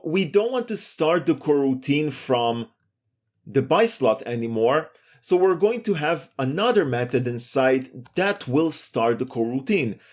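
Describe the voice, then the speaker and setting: A man giving a step-by-step screencast programming lesson in a methodical, explanatory way. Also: on the high side. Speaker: programming tutorial instructor.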